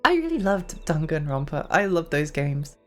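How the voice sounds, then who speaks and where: A young woman streaming a game, chatting in a rambling way with lots of fillers and giggles, her voice getting hoarse. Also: low-pitched, sing-song, moderate pace, faint music behind. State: losing her voice. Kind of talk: casual live-stream chat while gaming.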